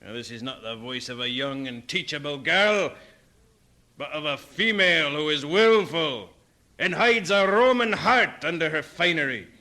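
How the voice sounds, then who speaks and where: A man's voice: a little high, expressive, moderate pace, pushed and bright. A man playing a stern, fervent Scottish preacher in an audio drama, with a solemn, oratorical manner. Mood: stern warning.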